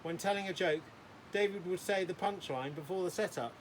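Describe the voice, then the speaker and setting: A man's voice: high voice, a little fast, a little hiss or hum, pitched above the performer's own voice, natural voice. A man voicing a posh, sarcastic young boy in a children's storybook, with a dry manner. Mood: scornful. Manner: dry, sardonic.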